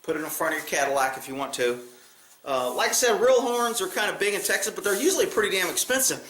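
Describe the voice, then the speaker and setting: High-pitched American man in an echoey room. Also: expressive, fast, a bit bright. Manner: rambling, blunt, folksy with mild cursing. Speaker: video channel host. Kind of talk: casual vlog chat. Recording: roomy, echoey sound.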